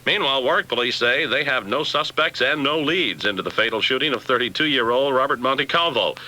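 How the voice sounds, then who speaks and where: A man's voice: medium pitch, brisk, sharp tone, rough recording. A man reading a scripted local bulletin of news, sports and weather on a 1970s AM radio station, taped off air on cassette. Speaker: radio newsreader. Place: radio studio.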